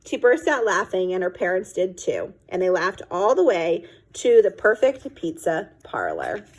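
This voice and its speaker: A woman reading a children's chapter book aloud expressively, pausing to explain phrases to young listeners. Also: on the low side, sing-song, a little mellow, breathy edge, rough recording. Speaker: read-aloud storyteller.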